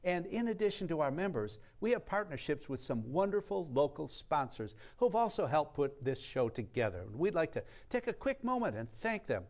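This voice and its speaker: A man's voice: fairly high pitch, big pitch swings, normal speed, few pauses, a little mellow. A man in his sixties co-hosting a live TV pledge show, presenting upbeat and emphatic like an announcer. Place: TV studio kitchen.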